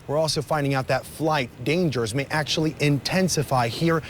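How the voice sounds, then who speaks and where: A man's voice: on the high side, moderate pace, slight background noise. A man reporting live for TV news from outdoors, with an emphatic, formal delivery. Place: outdoors on a city street at evening.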